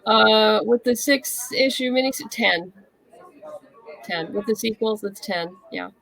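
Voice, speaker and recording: A woman's voice: on the high side, takes it slowly, gravelly, fairly bright tone, low-quality recording. A woman answering as an interview guest in casual chat, easygoing, modest and thoughtful.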